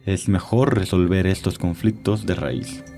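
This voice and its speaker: Prominent music runs behind a low male voice. an elderly, cunning vampire posing as a physician in an audio story. He speaks with measured, courteous wisdom and cold, quiet menace. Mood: controlled, clinical. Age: older. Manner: courteous, measured, wise-sounding, coldly menacing. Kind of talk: dramatized audio story acting.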